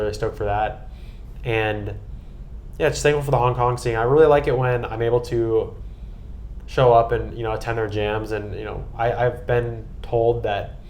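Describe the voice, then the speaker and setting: A man's voice: on the low side, a little mellow, slight background noise. An American man chatting casually and earnestly on a podcast, with an enthusiastic manner. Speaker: podcast guest and co-host recapping a trip.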